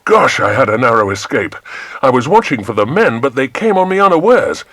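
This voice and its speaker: A man voicing a hearty, breezy British adventurer with a brisk, jolly manner in a children's audiobook. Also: medium pitch, sing-song, fairly bright tone, dry recording, voice pushed up. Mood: breathless, relieved.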